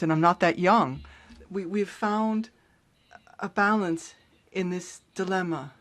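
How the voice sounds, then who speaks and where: A woman interprets a speech live, breaking her delivery into short, halting phrases. Her voice sits a little low. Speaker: simultaneous interpreter.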